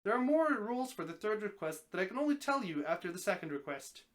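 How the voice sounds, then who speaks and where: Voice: medium pitch, big pitch swings, fast, lightly acted. A reader voicing an affable male talking snake who grants wishes, explaining things patiently and confidently in a story. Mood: patient, measured.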